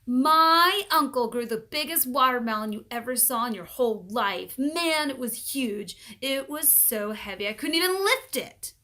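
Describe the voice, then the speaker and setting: A woman plays an eager storybook schoolboy, boastful and rambling. The voice jumps high and bright, with emphatic exclamations. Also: lively intonation, measured pace, hardly any pauses, exaggerated voice. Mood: excited, boastful. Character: excitable, boastful schoolboy eager to tell stories.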